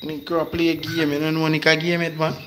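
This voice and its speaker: A young Jamaican man hosts a casual livestream with a slightly high-pitched voice. He is recorded on a handheld phone indoors, with clear background noise. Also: monotone, fast, fairly bright tone. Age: young adult. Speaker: livestream host. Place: home kitchen and bedroom, indoors. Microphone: phone held at arm's length.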